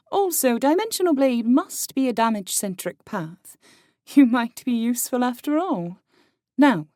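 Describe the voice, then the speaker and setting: Slow, high-pitched, self-assured fantasy game woman. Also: mellow, no room sound, studio quality. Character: Lex, self-assured, appraising young woman of a game world. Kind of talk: audiobook character acting.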